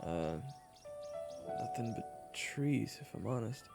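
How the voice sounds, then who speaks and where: A man's voice: medium pitch, animated, slow pace, creaky, big-room sound, over music. A man playing a casual, wry human adventurer in an audio roleplay, telling his story in the first person. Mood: uneasy, reflective. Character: weary, uneasy one-handed male human adventurer.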